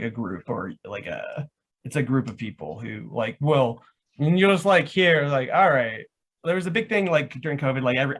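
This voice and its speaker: Male, mid-pitched voice, casual explanatory talk show guest, rambling, peppers speech with "like". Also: fairly bright tone. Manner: rambling, says "like" constantly. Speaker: guest, live action roleplay organiser.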